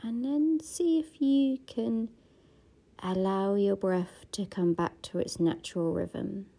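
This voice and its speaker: Woman meditation guide: dark, gravelly voice, soft and half-whispered, unhurried. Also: mid-range voice. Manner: slow, soft-spoken, often half-whispered.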